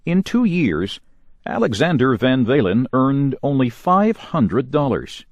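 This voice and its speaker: A man reading a scripted news report in a measured, clearly enunciated broadcast style. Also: medium pitch, sing-song, no echo, lo-fi sound. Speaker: news reader.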